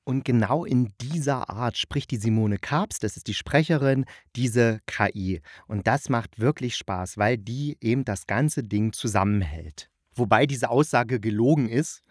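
A man's voice: medium pitch, unhurried, hardly any pauses, no echo, studio quality. A man giving a casual spoken review in a chatty, colloquial, opinionated manner. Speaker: audio drama reviewer, host.

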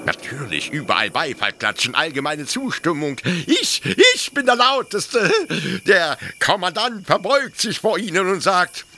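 Feverish, high-pitched fanatical officer. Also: male voice, very animated, unhurried, few pauses, a little hiss or hum, heavily acted. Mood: tense, eager. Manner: feverish, stammering, insistent, swinging from hushed to shouting. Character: fanatical, agitated male colonial officer pleading for his cause. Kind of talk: German radio play acting.

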